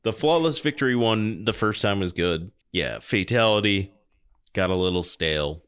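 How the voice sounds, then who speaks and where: A man's voice: on the low side, expressive, moderate pace, a bit bright, clean tone. A man hosting a podcast, reviewing films in a casual, sardonic and critical way. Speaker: podcast host. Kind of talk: casual film review chat.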